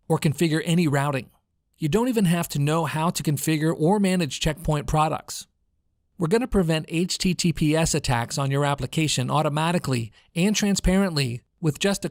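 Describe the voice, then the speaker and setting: Male voice-over, General American, slightly high register, clearly enunciated tutorial narration, very dry home-studio recording. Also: dry recording. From United States. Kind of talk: scripted product demo narration.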